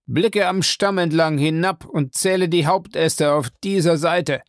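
A reader voicing an excitable, obsessive man who calls out commands in an urgent voice. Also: medium pitch, measured pace, no echo, studio quality, pitched above the performer's own voice, lightly acted. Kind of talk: audiobook character voice.

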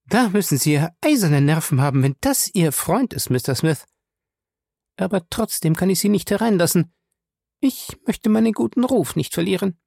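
A man voicing a wary, sharp-tongued woman in an audiobook, curt, indignant and suspicious. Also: sits high, expressive, normal speed, vocal fry, no room sound, clean studio sound, voice pushed up, exaggerated voice. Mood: guarded, disapproving. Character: wary, sharp-tongued woman guarding her good reputation. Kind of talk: audiobook character dialogue.

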